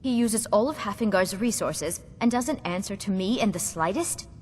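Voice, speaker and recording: A woman's voice: expressive, normal speed, darkish tone, lightly acted. An actress playing an earnest, naive and entitled young noblewoman ruler in a fantasy game, speaking formally. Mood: indignant. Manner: formal, regal. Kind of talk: fantasy game character acting. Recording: reverberant hall, faint rumbling noise.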